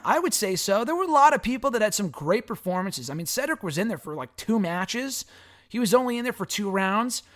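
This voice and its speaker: Hoarse, high-voiced man answering fan questions. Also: fairly bright tone. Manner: rambling, frank, swears casually. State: hoarse, raspy voice. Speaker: wrestling podcast host answering fan questions. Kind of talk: casual solo commentary.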